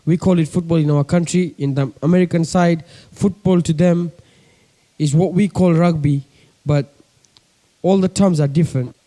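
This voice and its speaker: Casting note: young man, coastal Kenyan accent, laid-back vlogger chat, higher pitched, soft mellow tone, homemade bedroom recording. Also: fairly even intonation, normal speed, long pauses. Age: young adult. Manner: chatty, keeps addressing viewers as guys. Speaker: pigeon-keeping vlogger. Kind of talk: casual chat to camera. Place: bedroom with a desk.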